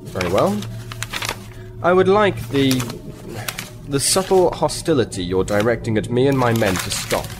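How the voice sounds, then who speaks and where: An actor playing a firm, formal military captain who is politely confrontational, in a sci-fi audio drama. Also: male voice, medium pitch, expressive, moderate pace, music in the background, natural voice. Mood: stern. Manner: formal, assertive.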